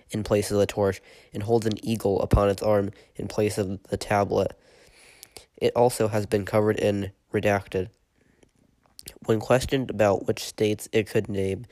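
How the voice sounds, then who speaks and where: A stuffy-nosed man hosts a podcast and reads out an eerie report in a steady, matter-of-fact tone. His voice sits low and barely rises or falls. The recording is dry, with no room sound around him. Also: no room sound. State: stuffy from a cold. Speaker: podcast host and narrator. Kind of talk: reading a written horror report.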